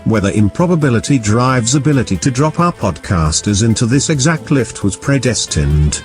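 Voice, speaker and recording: A man's voice: medium pitch, animated, normal speed, natural voice. A man playing a wry, formal narrator in a scripted comic sci-fi audio skit. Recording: music behind the narration.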